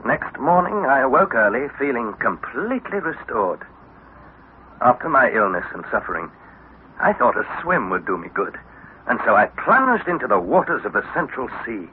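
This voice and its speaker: An actor playing an earnest, wondering young nephew on an expedition, in crisp 1960s radio drama style. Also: male voice, medium pitch, big pitch swings, normal speed, lightly acted. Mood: narrating. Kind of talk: 1960s radio drama acting with scripted narration. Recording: tinny, hissy vintage radio broadcast.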